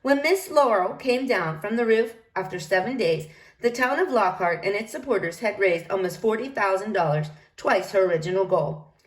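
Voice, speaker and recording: A woman reading a children's book aloud, narrating in an expressive storytelling manner. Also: mid-range voice, normal speed. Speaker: storybook reader.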